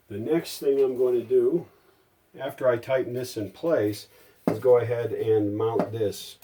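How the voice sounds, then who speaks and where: Man, middle-aged, soft dark tone, medium pitch, casual workshop narration, folksy, chatty, thinking aloud. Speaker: hobbyist restorer presenting a repair.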